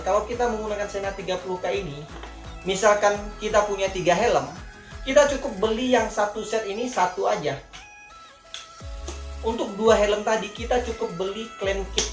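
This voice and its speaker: A man's voice: high-pitched, expressive, a little fast. Casual Jakarta man in an echoey room. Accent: Jakarta Indonesian. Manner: informal, colloquial, repeatedly addressing viewers as friends. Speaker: vlogger reviewing gear. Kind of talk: casual product review talk. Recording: echoey room with faint music.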